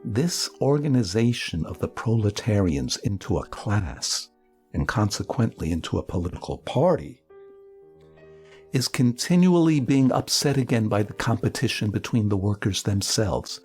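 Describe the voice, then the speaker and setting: A man reading a historical political text dramatically, with emphatic, oratorical delivery in deliberate phrases. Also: fairly low pitch, animated, a little mellow, breathy edge, soft background music.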